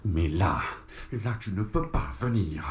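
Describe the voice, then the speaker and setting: An actor playing a flustered, exasperated barber in an audio drama, stammering and protesting as he tries to calm a friend. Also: deep voice, takes it slowly, slight background noise. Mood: exasperated, placating. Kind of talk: French audio fiction acting.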